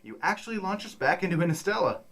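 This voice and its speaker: A man's voice: high-pitched, very animated, fast, fairly bright tone, exaggerated voice. A man playing a nagging, sarcastic, incredulous sidekick to a reckless companion in a comic radio play.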